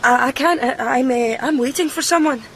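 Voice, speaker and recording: A timid, meek woman in a radio drama. Her voice is on the high side and hesitant, always politely apologetic, over faint background noise. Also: normal speed, a bit bright.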